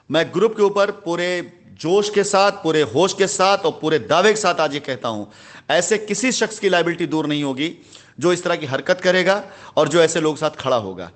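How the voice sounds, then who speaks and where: A man speaks in a moderately high voice. He addresses customers like a company head making a formal announcement. His delivery is indignant and emphatic, with phrases repeated for stress. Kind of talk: voice note announcement, speech-like monologue.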